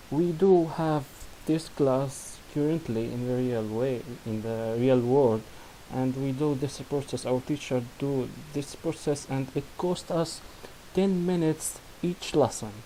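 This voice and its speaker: A man with a Syrian Arabic accent walks through a software demo at a slow, careful pace, often restarting his sentences in non-native English. His voice is mellow and medium in pitch. A faint hiss runs under the recording. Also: low-quality recording. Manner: halting, many restarts and fillers, non-native grammar. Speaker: student presenting a project.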